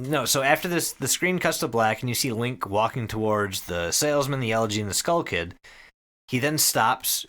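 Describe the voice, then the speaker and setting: Bright, animated man recapping a story. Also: mid-range voice. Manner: excited, profane, conversational. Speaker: podcast host. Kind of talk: casual storytelling recap among friends.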